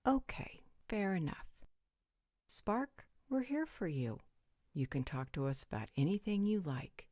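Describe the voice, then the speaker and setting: A worldly, supernatural female guardian voiced by a woman for an audio roleplay. Her voice sits low and is recorded close and dry, and she talks with blunt, teasing frankness. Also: very animated, a little slow, a little mellow, no echo. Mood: supportive, firm. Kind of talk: scripted roleplay audio drama.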